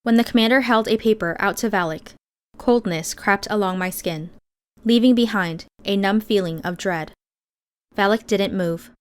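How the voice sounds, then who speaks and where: A woman's voice: mid-range voice, normal speed, clean tone. Woman narrating a fiction audiobook. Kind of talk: scripted first-person fiction narration.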